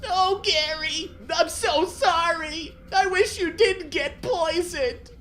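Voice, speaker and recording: A man's voice: very high-pitched, steady tone, unhurried, fairly bright tone, slight background noise, voice pushed up, heavily acted. A man voicing a childlike, distraught cartoon sea sponge in a whiny, tearful way.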